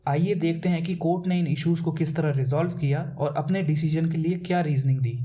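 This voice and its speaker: A man's voice: fairly high pitch, dark tone. An Indian man presenting a scripted educational narration in a formal, methodical explaining manner. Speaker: presenter of legal case explainers.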